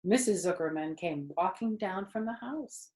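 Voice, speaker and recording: A woman's voice: mid-range voice, normal speed. Woman reading a storybook in an echoey room. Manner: expressive, conversational asides to listeners. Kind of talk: children's storybook read-aloud. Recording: roomy echo.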